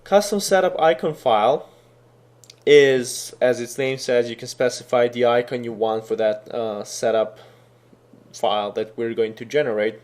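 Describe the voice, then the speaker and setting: A man whose first language is Serbian, explaining a software installer step by step in a casual, thinking-aloud way with frequent fillers. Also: medium pitch, a little slow. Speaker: software tutorial instructor. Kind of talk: screen-recorded coding tutorial.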